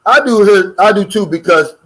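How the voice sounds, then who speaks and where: A man's voice: sits high, moderate pace, pushed and bright, rough recording. Older american guy reading chat comments, laid back folksy drawl. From United States. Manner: folksy, drawling, reads viewer comments aloud. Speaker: co-host of a livestream.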